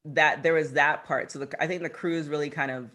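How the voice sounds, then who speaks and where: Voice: mid-range voice, steady tone, fairly quick, sharp tone. A man in his thirties co-hosting a podcast, talking in a rambling way with lots of fillers. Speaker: podcast co-host. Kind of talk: casual podcast chat.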